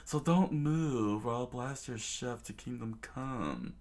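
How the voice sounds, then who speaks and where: A man's voice: mid-range voice, takes it slowly, darkish tone, pitched above the performer's own voice, lightly acted. A man voicing a pompous, boastful male pirate brute who speaks in a self-important way. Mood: pompous menace.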